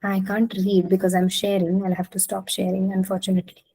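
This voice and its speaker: A woman's voice: medium pitch, monotone, fairly quick, mellow, slightly rough, poor audio. An Indian woman from Maharashtra, a native Marathi speaker, giving a conversational, encouraging online talk and inviting participants to respond. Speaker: speaker leading an interactive talk, clinical psychologist. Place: online video call.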